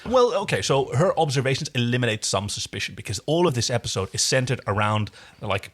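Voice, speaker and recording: A man co-hosting a podcast in casual, animated, opinionated chat. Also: medium pitch, fairly quick. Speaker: podcast co-host.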